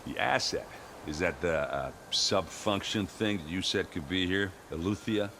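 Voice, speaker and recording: An actor playing a gruff, plain-spoken male warrior ally in a video game, casual and folksy with some hesitation. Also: deep voice, lively intonation, moderate pace, sharp tone, slight background noise, full character voice. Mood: casual, puzzled.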